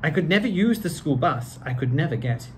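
A man's voice: a little high, animated, brisk. A middle-aged man putting on a British accent, reading to children in a theatrical, genteel and effusive way with playful banter. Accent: British, put on. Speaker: children's storybook reader, actor. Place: living room with a piano. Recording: faint background room noise.

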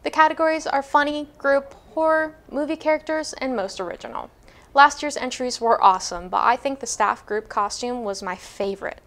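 A woman's voice: sits high, normal speed, fairly bright tone. A teenage girl anchoring a school news show, reading items and chatting with her co-anchor. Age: teens. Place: school studio desk.

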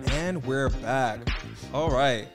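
A man in his twenties co-hosting a podcast, chatting playfully and teasing, recorded on a boom mic in a studio. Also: slow pace, loud music behind the voice. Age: 20s. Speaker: podcast co-host. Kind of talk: casual chat. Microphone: boom mic on stand.

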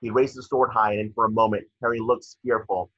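Young male narrator for screenplay directions: husky, forceful, dry and slightly muffled. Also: on the low side, little pitch movement, brisk, no echo. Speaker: narrator reading stage directions. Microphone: computer mic on video call. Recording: dull, dry video-call audio.

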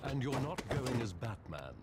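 Older manservant played by a man for animation: proper, dry, gently mocking, strongly inflected, over heavy background noise. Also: mid-range voice, sing-song, normal speed, natural voice. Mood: prim, admonishing. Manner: polite, dry, gently sardonic. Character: dry, dutiful older male butler. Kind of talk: animated film dialogue.

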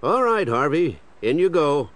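An actor playing a dutiful, questioning police commissioner, earnest and plain-spoken, in an audio drama. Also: male voice, fairly high pitch, very animated, unhurried. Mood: wry, resigned.

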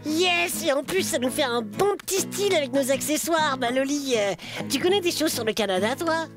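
A woman voicing an excitable boy teddy bear puppet with a Belgian French accent, talking in an enthusiastic, slangy way. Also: high voice, normal speed. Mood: excited. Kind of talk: children's puppet show. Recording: music behind the voice.

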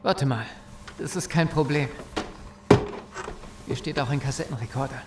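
A man's voice: mid-range voice, moderate pace, close to an everyday voice. An actor playing an agitated, sceptical male survivor in a horror audio drama. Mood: urgent. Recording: roomy echo, faint noise.

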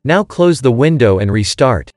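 A man narrates a scripted how-to guide in short, clear-cut commands. His pitch moves in an animated way around an average male register. Faint music plays behind him. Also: normal speed, no room sound, studio quality. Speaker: tutorial narrator. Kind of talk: scripted step-by-step instructional narration. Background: background music.

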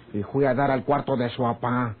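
An actor with a northern Mexican accent playing a sly, quarrelsome, rustic ranch hand in a radio drama, his voice husky. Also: mid-range voice, steady tone, moderate pace, lightly acted. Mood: agitated. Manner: rustic, colloquial. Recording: muffled old radio serial recording.

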